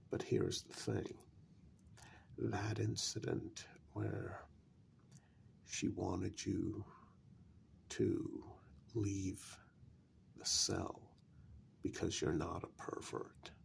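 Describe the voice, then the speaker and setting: Casting: male performer as a patient, apologetic confidant in a gothic vampire role-play, low voice full of heavy fry, hushed delivery. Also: slight background noise, heavily acted. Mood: patient, conciliatory. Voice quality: soft, breathy, gravelly. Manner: slow, measured, patient, with long pauses. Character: calm, apologetic male confidant explaining a vampire curse in an old castle. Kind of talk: soft-spoken ASMR role-play.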